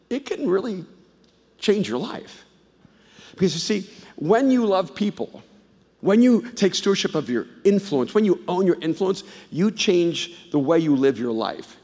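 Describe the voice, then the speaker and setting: A middle-aged man from El Salvador preaching a sermon, swinging between loud emphatic bursts and low, intimate asides, and telling stories with frequent restarts. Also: breathy edge. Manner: conversational storytelling with repeated restarts. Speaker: pastor. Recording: long hall reverb.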